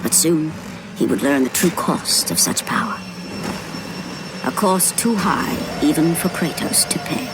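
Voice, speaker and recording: An actress playing a grave, all-knowing narrator of a Greek myth in a video game, solemn and theatrical. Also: female voice, deep voice, expressive, unhurried, heavy background noise. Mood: ominous, intense. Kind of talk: dramatic scripted narration of a mythic game story. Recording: loud music behind the voice.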